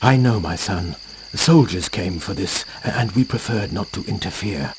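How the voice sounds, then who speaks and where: An actor playing a fatherly, knowing priest in a video game cutscene, with a gravelly voice. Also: low-pitched, animated, normal speed. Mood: gentle. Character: kindly, sly priest helping a hero.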